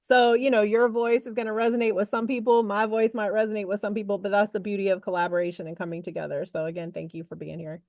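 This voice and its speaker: A woman's voice: medium pitch, little pitch movement, quick pace, few pauses, a little mellow, no room sound. A woman hosting a podcast interview, talking in an upbeat, chatty way with frequent laughs and fillers. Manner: upbeat and chatty, laughs often, lots of fillers like "you know". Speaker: podcast host. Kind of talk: casual interview chat.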